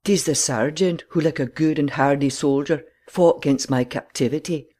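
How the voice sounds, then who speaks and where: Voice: medium pitch, normal speed, warm tone, natural voice. An actor playing a young noble in a dramatic Shakespeare audiobook reading. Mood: earnest. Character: young noble addressing a wounded comrade.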